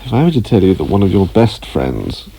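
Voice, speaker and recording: An urbane, drily jealous husband in a radio play. His low, mellow voice moves expressively with droll irony, over faint background ambience. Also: sing-song, creaky, slight background noise, exaggerated voice, poor audio. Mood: playful, ironic. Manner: droll, measured, playfully ironic. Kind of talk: naturalistic radio drama acting.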